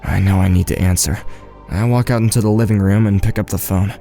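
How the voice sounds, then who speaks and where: A man's voice: low voice, steady tone, a little fast, vocal fry, over loud music. A man playing a shaken, subdued teenage boy in an audio drama, hesitant and weary. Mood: anguished, subdued. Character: shaken, subdued teenage boy narrating his own story. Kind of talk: first-person audio drama narration and dialogue.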